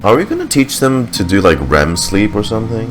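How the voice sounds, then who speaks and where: A woman with a very low-pitched voice gives casual commentary on a game. She talks like a streamer reacting as it goes, with music clearly behind her. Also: wildly swooping pitch, brisk.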